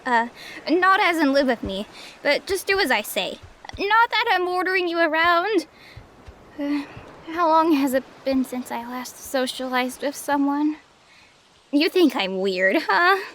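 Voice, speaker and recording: A woman's voice: high-pitched, lively intonation, unhurried, fairly bright tone, slight background noise. A woman playing a friendly young cave lioness girl in an audio drama, caring and chatty and a little awkward. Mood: flustered. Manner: caring, chatty, flustered when self-conscious. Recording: background music under the voice.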